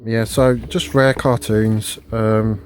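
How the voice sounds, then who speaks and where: A low-voiced man talks loosely and hesitantly, stopping and restarting as he chats about his finds. He is recorded on a phone in a room at home, with a faint hiss of noise underneath. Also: steady tone, slow. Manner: rambling, hesitant, many fillers and restarts. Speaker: video collector vlogger. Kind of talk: casual rambling haul video. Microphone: phone camera.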